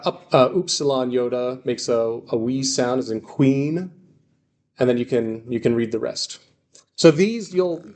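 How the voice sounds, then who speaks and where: A man's voice: mid-range voice, a little mellow. Man teaching a class, reassuring and informal. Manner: reassuring, often checks understanding with "okay" and "right"; repeats and emphasizes key points. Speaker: language teacher.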